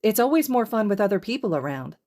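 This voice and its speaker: Woman voicing an upbeat, high-pitched teenage girl. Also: very animated, fast, darkish tone. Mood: friendly, upbeat. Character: friendly, upbeat teenage girl student, eagerly sociable.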